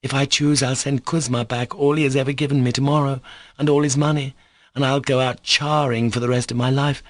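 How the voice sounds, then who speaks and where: A man's voice: a little high, normal speed, dry recording, pitched above the performer's own voice, close to an everyday voice. A man reading a classic novel aloud, voicing a passionate, volatile young woman with fierce, hysterical outbursts. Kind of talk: audiobook character reading.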